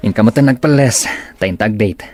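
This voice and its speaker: A man's voice: fairly low pitch, moderate pace, darkish tone, close to an everyday voice. An actor with a northern Philippine accent playing a moody, weary male patient with a subdued, dry manner in a radio drama. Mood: dryly joking. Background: music.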